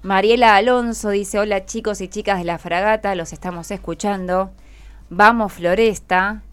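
A woman's voice: medium pitch, fairly even intonation, moderate pace, clean tone. A woman with a Buenos Aires accent hosting a live radio show, chatting with guests and reading out listeners' greetings. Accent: Argentine, Buenos Aires. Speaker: radio host. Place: community radio studio. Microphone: studio microphone.

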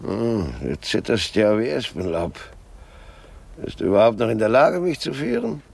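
Gravelly, cantankerous old man. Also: on the low side, big pitch swings, normal speed, a little mellow, exaggerated voice. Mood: querulous, scolding. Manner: grumbling, pedantic, declamatory. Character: cantankerous blind elderly husband, miserly and querulous. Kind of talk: radio drama acting.

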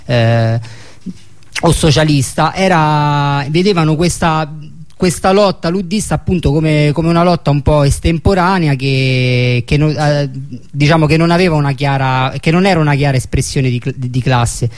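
A man hosting a radio show, speaking in a halting way and repeating phrases as he reasons aloud. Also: on the high side. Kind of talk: hesitant spoken radio commentary and sign-off.

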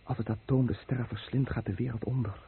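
A man's voice: medium pitch, fairly even intonation, fast talker, gravelly, close to an everyday voice. An actor playing a pious, anguished fisherman in a radio play, speaking solemnly and insistently. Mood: pleading, solemn. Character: Suzuki, pious fisherman on watch, anguished and dread-filled. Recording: tinny, noisy old radio drama recording.

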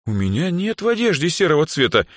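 A man voicing a grumpy, sardonic young woman in a fantasy audiobook, speaking in a gruff, ironic, dry-witted way. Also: fairly high pitch, big pitch swings, fast, no echo, pitched above the performer's own voice.

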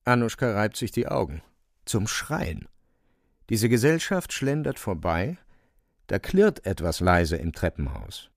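A male narrator of a literary radio drama with a lowish, creaky voice. He tells the story vividly and suspensefully, with animated intonation. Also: a little slow, dry recording, studio quality.

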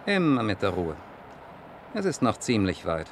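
An actor playing a sardonic, smug young defence lawyer in a 1960s German radio play, speaking in a dry, ironic way. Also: male voice, fairly low pitch, wildly swooping pitch, moderate pace. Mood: brisk. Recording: noisy 1960s radio recording with clicks.

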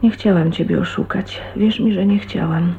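An actress playing a shy, heartbroken young woman in a radio drama, often murmuring to herself in a hushed, breathy way. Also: on the low side, steady tone, normal speed. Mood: restrained sorrow. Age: young adult. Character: shy, heartbroken 29-year-old woman leaving her husband. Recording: tinny, band-limited 1980s radio recording, hissy.

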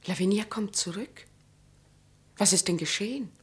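An actress in a German radio drama playing an anxious young mistress, earnest and intense. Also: female voice, mid-range voice, normal speed, lightly acted.

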